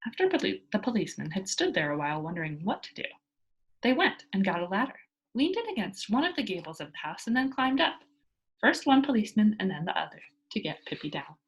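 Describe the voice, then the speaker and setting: A woman reads a children's book aloud. Her delivery is animated and expressive, with wide movement in pitch. There is a husky, slightly rough grain in her voice. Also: quick pace, rough recording. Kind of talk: expressive read-aloud storytelling.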